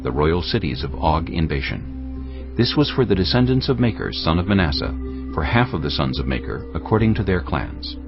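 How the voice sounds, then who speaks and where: A man giving a measured, solemn scripted narration of scripture for an audio Bible. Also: sits low, dull audio. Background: prominent background music.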